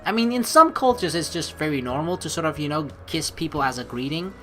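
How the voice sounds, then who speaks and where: Female let's play streamer, lively pitch swings, casual playful reading and reacting over faint music. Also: brisk. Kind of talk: gaming commentary mixed with reading game narration aloud. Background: game music.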